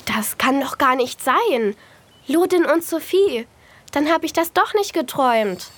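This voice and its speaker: High-voiced, earnest little girl. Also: expressive, unhurried, fairly bright tone. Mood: amazed. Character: young girl Sophie, earnest, bright and wondering. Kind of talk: scripted audio drama acting.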